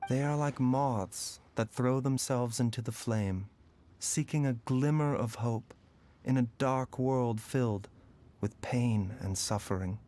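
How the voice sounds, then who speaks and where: A man playing a calm, reflective doctor. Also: mid-range voice, slow, lightly acted. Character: composed male physician, calm and philosophical. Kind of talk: video game voice acting.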